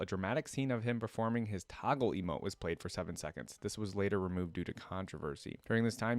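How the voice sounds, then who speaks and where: Low-pitched man, dry close sound. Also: no echo. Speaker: reactor and game fan channel host. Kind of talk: casual reaction commentary, reading aloud.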